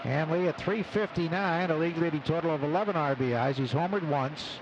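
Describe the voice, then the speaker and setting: A man doing live radio baseball play-by-play, calling the action in clipped phrases between pauses. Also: on the high side, muffled recording. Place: open broadcast booth at outdoor ballpark. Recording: noisy outdoor ballpark broadcast. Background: loud stadium ambience.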